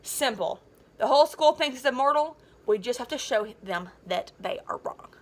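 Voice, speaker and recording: A woman's voice: on the high side, animated, normal speed. Woman reading as snarky, scheming schoolboy. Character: scheming, sarcastic popular middle-school boy, pushy and proud.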